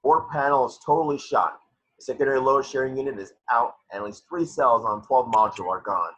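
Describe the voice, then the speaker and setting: A man acts a grumbling, blunt engineer from a sci-fi film script. The voice is rough and forceful with a bright edge, heard through a slightly muffled recording. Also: mid-range voice, a little fast. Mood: disgruntled. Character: gruff, grumbling working-class male spaceship engineer. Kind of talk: amateur screenplay table read.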